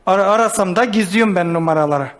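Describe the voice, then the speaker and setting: A man's voice: high-pitched, fast talker. A man speaking as a talk show guest, defensive and rambling, stammering and stubbornly repeating himself.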